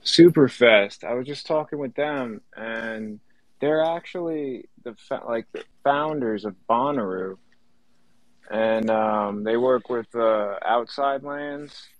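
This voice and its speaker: A man chatting casually and haltingly, searching for his words. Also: mid-range voice, no echo. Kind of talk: casual chat. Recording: dull lo-fi online call audio.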